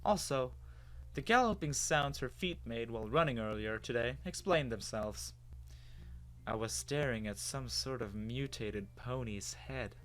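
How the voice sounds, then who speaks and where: A man giving an expressive, dramatic first-person reading of a story as its narrator. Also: mid-range voice, measured pace. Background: music.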